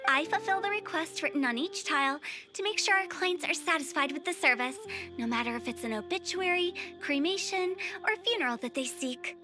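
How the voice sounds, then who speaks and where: Cheeky young female funeral director for anime game: extremely high, salesy, theatrical, over music. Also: a little fast. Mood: proud, persuasive. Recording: game audio with music behind.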